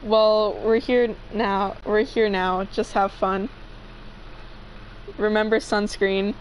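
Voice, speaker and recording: A woman's voice: medium pitch, little pitch movement, takes it slowly, slight background noise, natural voice, poor audio. A woman voicing a caring, reassuring male gang leader in an amateur fanfiction reading. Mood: resigned, encouraging. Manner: gentle, reassuring. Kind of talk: amateur fanfiction read-aloud.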